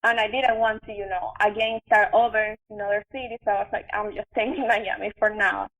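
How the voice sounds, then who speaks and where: A young woman from Venezuela with a Venezuelan Spanish accent, telling her story casually and haltingly in an interview, with many fillers. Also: medium pitch, fairly even intonation, a bit bright, muffled recording. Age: young adult. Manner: halting, filler-heavy, conversational. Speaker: podcast guest, actress and chef.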